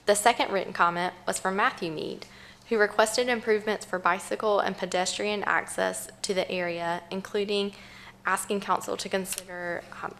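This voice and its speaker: A woman reads written public comments into the record at a council meeting. She keeps an even, businesslike delivery at mid pitch, with faint room noise behind. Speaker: town clerk. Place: town council chamber.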